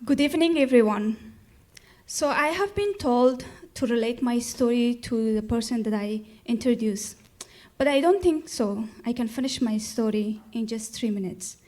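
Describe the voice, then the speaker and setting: A young woman from Afghanistan in her twenties, a graduate student telling her story to a live audience in a measured, solemn way. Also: a little high, darkish tone.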